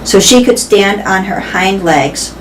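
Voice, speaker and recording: A woman reading a storybook as a tired, gentle, motherly old female elephant. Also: mid-range voice, expressive, a little slow, natural voice, poor audio. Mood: sad, concerned. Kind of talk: storybook read-aloud. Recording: background noise.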